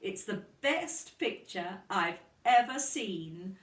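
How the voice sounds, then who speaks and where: Woman reading as a slow, loving old grandfather. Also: medium pitch, animated. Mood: delighted. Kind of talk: children's storybook character voice. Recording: roomy, distant microphone.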